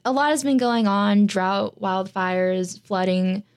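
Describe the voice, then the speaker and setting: A woman's voice: medium pitch, slow pace. A young American woman, a student, answering interview questions in a chatty way with many filler words. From United States. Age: young adult. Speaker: student guest.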